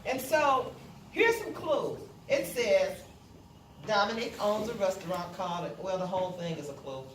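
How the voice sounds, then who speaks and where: A woman's voice: mid-range voice, animated, measured pace, far from the mic. Woman teacher in a noisy, roomy classroom. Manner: instructional, repeats and checks for understanding. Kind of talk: classroom read-aloud lesson, explaining step by step with questions to students. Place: classroom, indoors.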